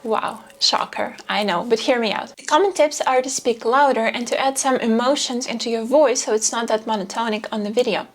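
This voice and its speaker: Soft-voiced woman vlogging to camera. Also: fairly high pitch, brisk, few pauses. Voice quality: soft, quiet voice. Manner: candid, self-deprecating, chatty. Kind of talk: reflective vlog talk to camera.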